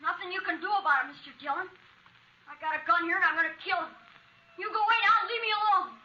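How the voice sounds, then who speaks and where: A woman's voice: very high-pitched, fast, sharp tone, exaggerated voice. A woman playing a distraught, pleading farm boy in a 1950s radio western, with plain rural speech. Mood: defiant, tense. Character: distraught farm boy with a gun, vengeful, hurting. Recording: muffled old radio recording.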